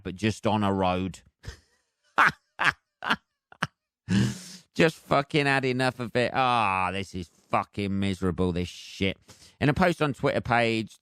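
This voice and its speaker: A British man hosts a solo comedy podcast in a clear, smooth voice, recorded dry and close. He rambles casually and sardonically, swearing freely as he rants. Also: mid-range voice, a bit bright, dry recording. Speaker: podcast host.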